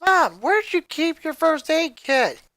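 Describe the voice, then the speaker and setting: A woman's voice: sits high, big pitch swings, unhurried, fairly bright tone, dry recording, voice pushed up, exaggerated voice. A woman voicing a concerned, feisty young girl in a story reading. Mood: puzzled. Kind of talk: fan fiction character voice.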